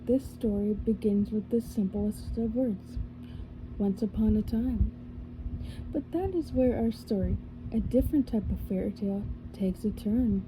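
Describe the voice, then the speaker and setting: A woman reading a storybook aloud in a soft ASMR style, slowly and carefully, sometimes slipping into a whisper and correcting small slips as she goes. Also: medium pitch, noisy background. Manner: drops to a whisper at times. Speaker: ASMR reader.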